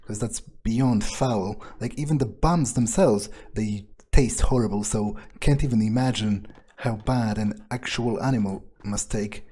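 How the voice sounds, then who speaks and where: A man acts out a scornful male vampire in a game roleplay, thinking aloud in a dark, mellow voice with a husky edge. He is sardonic and profane, muttering and halting as he goes. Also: on the low side. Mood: musing, scornful. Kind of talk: improvised in-character game roleplay.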